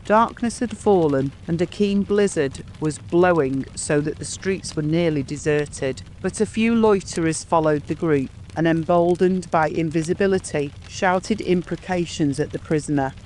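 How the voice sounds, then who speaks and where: Casting: male audiobook narrator, slightly high voice, measured literary storytelling, ambient noise behind him. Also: darkish tone. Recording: clear background ambience noise.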